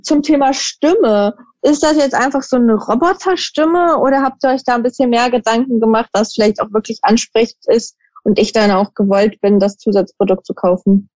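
A woman's voice: on the high side, moderate pace, hardly any pauses, a little mellow, dry recording, highs cut off. A woman hosting a podcast interview, asking probing questions and summarizing the answers. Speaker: podcast host. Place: lives in Switzerland for almost ten years.

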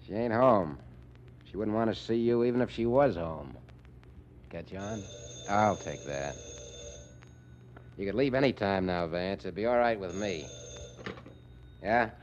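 A man's voice: fairly low pitch, unhurried, pauses often, close to an everyday voice. An actor playing a smug, needling tough guy in 1940s radio drama, with slangy talk. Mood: curt, menacing. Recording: muffled, noisy old radio recording.

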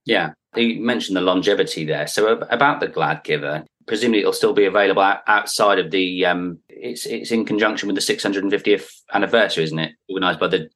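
A man's voice: low voice, quick pace, hardly any pauses, fairly bright tone. A man hosting a podcast interview, asking questions in a conversational style.

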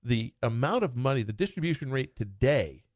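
Guy walking through retirement money plans, medium pitch, dry close sound, chatty. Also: big pitch swings, moderate pace, no room sound. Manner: explains retirement planning in runs of short clauses, laughing and teasing. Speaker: financial advisor. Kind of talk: conversational explanation.

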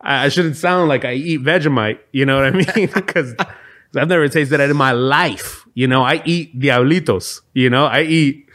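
A man's voice: medium pitch, normal speed, fairly bright tone. A man from Venezuela and Miami talking casually and comedically as a podcast co-host, repeating phrases for emphasis. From Venezuela, raised in Miami. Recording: music playing behind the voice. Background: guitar music.

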